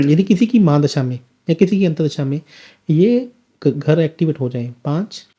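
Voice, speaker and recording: Dark-voiced man explaining like a teacher. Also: fairly high pitch, lively intonation, normal speed, breathy edge. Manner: emphatic teacher, asks rhetorical questions. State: slightly sore throat. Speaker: astrologer guest. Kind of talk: podcast conversation, explaining astrology.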